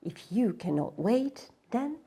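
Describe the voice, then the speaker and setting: Calm storybook waterfall spirit played by a woman, soft, breathy and unhurried, gentle yet firm. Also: fairly high pitch, background noise, exaggerated voice. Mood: hushed, firm. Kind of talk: children's storybook read-aloud.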